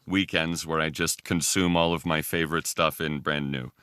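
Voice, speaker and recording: A male podcast guest with a deep voice that still has a bright, crisp edge. He chats casually, with a dry, close sound around him. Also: steady tone, moderate pace, dry recording. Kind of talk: casual chat.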